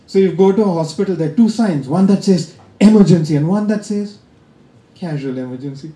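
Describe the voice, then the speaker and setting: A man's voice: sits high, a little fast, dark tone. Indian man doing stand-up, roomy club. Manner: dry, teasing delivery with crowd work. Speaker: stand-up comedian. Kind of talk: stand-up comedy set. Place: comedy club stage. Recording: roomy live club sound.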